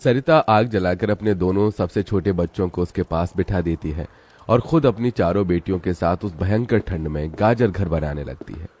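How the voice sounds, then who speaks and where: A man's voice: low-pitched, normal speed, a little mellow. A man reading scripted storybook narration for a children's cartoon.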